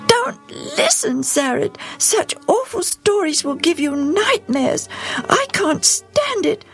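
A woman voicing an excitable young girl who squeals and shrieks in a very high voice. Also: slow pace, faint music behind, full character voice. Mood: horrified. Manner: squealing, shrill.

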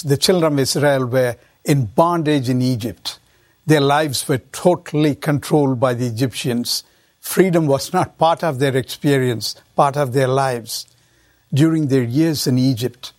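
A man hosting a Bible study radio program, reading a scripted opening and then interviewing a guest in a measured way with some fillers and repeated words. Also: medium pitch, darkish tone, no echo, studio quality.

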